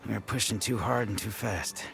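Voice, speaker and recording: A man's voice: lowish voice, fairly quick, creaky, fairly bright tone, faint music behind. An actor playing a weary, injured mine worker in a video game, blunt and colloquial, grumbling as he talks. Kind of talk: scripted video game dialogue.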